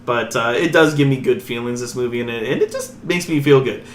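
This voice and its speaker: A man's voice: mid-range voice, very animated, a little fast, roomy sound. A man hosting a casual movie review podcast, playful and rambling, often stumbling over his words. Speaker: podcast host.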